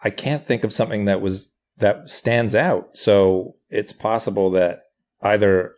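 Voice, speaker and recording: A man's voice: fairly low pitch, steady tone, measured pace, a little mellow. A middle-aged man, telling anecdotes casually in a self-deprecating, hesitant way as a podcast guest. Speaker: podcast guest, writer and comedian.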